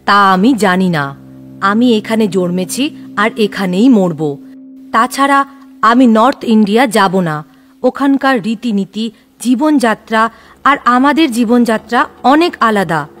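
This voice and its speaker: A woman's voice: on the high side, animated, measured pace, faint music behind. A woman playing a stubborn, traditional elderly village grandmother in an audio story, firm and admonishing.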